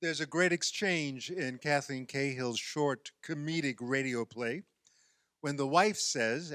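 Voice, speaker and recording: A man giving a sermon as a minister, measured and using rhetorical questions. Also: fairly high pitch, fairly bright tone, no echo, studio quality.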